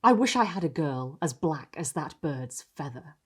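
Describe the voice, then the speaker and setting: A woman reads as an aristocratic Gothic count, wistful and full of desire. The storybook delivery is solemn and theatrical, with wide swings in pitch. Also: very animated, moderate pace, slightly rough, exaggerated voice. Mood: longing. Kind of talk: storybook character reading.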